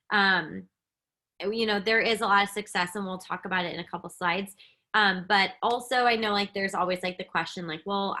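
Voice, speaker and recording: A woman's voice: medium pitch, sharp tone. A woman hosting a coaching webinar, speaking casually with many fillers. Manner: upbeat, filler-heavy, explaining. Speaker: webinar host.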